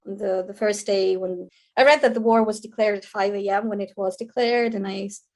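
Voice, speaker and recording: Quick-talking Ukrainian woman. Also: medium pitch, fairly even intonation, a little mellow. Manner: quick, earnest, with many restarts. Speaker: guest, lawyer and historian. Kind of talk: podcast interview.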